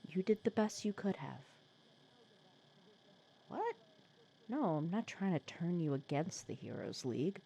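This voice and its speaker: A woman playing a wry, protective supervillain nemesis who talks softly and teasingly in a comforting ASMR roleplay audio drama. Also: fairly low pitch, very animated, unhurried, vocal fry, pauses often, breathy edge, exaggerated voice. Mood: indignant, protective. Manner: soft-spoken, teasing, conversational with hesitations.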